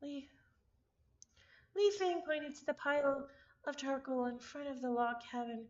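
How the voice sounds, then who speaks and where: Female visual novel reader, high-pitched, expressive narrator who chats casually, slightly dull lo-fi sound. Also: unhurried. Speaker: streamer reading a visual novel aloud.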